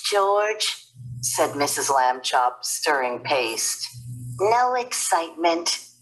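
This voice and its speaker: Woman storybook reader, low pitch, very animated, slow measured pace. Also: low-quality recording. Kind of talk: expressive scripted children's read-aloud.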